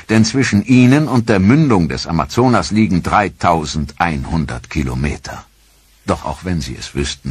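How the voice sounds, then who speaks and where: A man narrating a scripted historical documentary in a measured, dramatic storytelling manner. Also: extremely low pitch, slightly rough, dry recording.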